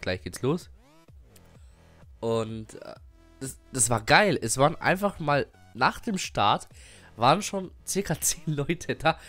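A man's voice: mid-range voice, fairly bright tone. A young man with a cold giving a casual, rambling channel update with many fillers and little laughs. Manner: rambling, many fillers and restarts, frequent chuckles. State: has a cold. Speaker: gaming YouTuber and streamer.

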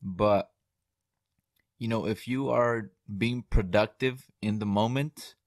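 A man's voice: on the low side, slow pace, no room sound. A young adult man hosting a podcast, asking questions casually into a studio mic in a small room at home. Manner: relaxed, quiet affirmations. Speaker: podcast host. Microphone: studio mic on boom with headphones.

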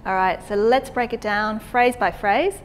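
A woman in her thirties with an Australian accent, teaching a song by singing short phrases slowly and explaining between them. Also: mid-range voice, a little hiss or hum. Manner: sings phrases slowly for echoing back, then explains briskly. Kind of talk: singing lesson, sung phrases and spoken instructions. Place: plain studio with grey backdrop.

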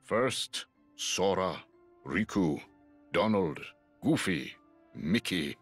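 Old male wizard mentor for a game: very deep, slow, breathy, solemn. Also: animated, faint music behind, heavily acted. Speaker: voiced game character.

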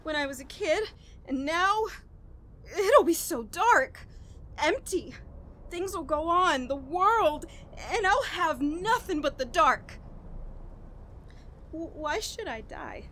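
Actress doing frantic woman losing her mind, old radio horror style, slow dramatic delivery, bit of noise behind. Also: extremely high pitch, animated, pushed and bright, exaggerated voice. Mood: desperate, afraid. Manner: halting, overwrought, dramatic. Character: frantic, haunted woman on the edge of madness. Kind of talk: 1940s radio horror acting, dramatic monologue.

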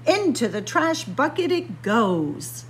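A woman's voice: sits high, very animated, slow, a little hiss or hum, pitched above the performer's own voice, full character voice. A woman voicing a bossy young girl bunny, a tidy big sister, in a picture book read-aloud. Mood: bossy, brisk. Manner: bossy, instructing.

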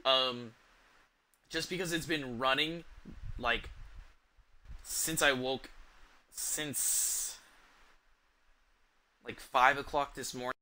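A young man chatting casually on a game livestream, halting and hesitant, with a sweary, offhand way of talking. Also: mid-range voice, fairly even intonation, pushed and bright. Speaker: video game streamer. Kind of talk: casual livestream chat over gameplay. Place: small indoor room at home.

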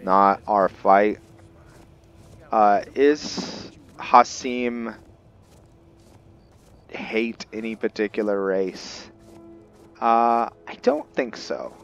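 A man giving casual roleplay gameplay commentary, thinking aloud in short, halting phrases as he plays. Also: lowish voice, vocal fry, smooth, dull audio. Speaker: gaming video host, player. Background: game music.

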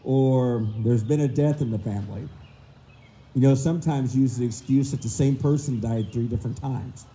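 Hoarse, very dark-voiced male preacher. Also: medium pitch. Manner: acts out excuses in quick voices, slow emphatic stresses. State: hoarse, voice gone low. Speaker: minister. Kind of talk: sermon. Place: open park pavilion outdoors. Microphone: mic on a stand. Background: faint outdoor ambience with birds.

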